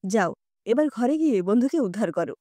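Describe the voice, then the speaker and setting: Woman voicing a firm, kindly old grandmother, high voice. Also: big pitch swings, a little fast, dark tone, no room sound. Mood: firm, wise. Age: older. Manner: affectionate, admonishing, plain-spoken.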